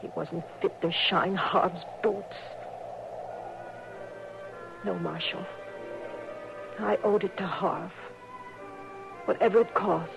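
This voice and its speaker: An actress playing a grim, resolute frontier woman in a 1950s radio drama, speaking flatly. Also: on the low side, slow pace, creaky, pauses often, over music, full character voice. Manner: flat and quietly determined. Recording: dull old radio recording.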